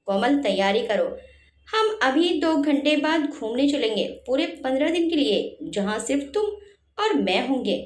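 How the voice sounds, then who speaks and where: A woman's voice: sits high, expressive, unhurried. A woman voicing an earnest, remorseful husband in a family story, with a firm manner. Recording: roomy echo on the voice.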